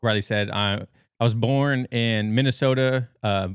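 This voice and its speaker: A man's voice: mid-range voice, fairly even intonation, a little slow, clear, resonant tone, dry recording, studio quality. An American man in his thirties chatting casually as a podcast guest, telling stories in an informal, rambling way. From western United States. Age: 30s. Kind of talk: casual conversational storytelling. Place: bedroom at home.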